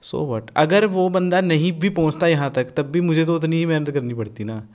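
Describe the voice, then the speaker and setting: A young man from Punjab talking casually on a podcast, blunt and animated, swearing freely and mixing in English. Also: fairly high pitch, moderate pace. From Punjab, India. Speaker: podcast guest, rapper.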